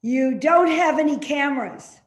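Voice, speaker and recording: A woman's voice: high-pitched, lively intonation, unhurried. A woman playing a stubborn, accusing, ailing elderly mother in a readers theater drama, speaking in terse retorts. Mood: accusing, defiant. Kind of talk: readers theater stage acting. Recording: echoey room sound.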